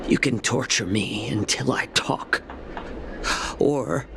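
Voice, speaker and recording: A man playing a calm, shrewd healer held hostage in a video game, speaking in a measured, guarded way. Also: medium pitch, creaky, noisy background. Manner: measured, guarded, quietly bargaining.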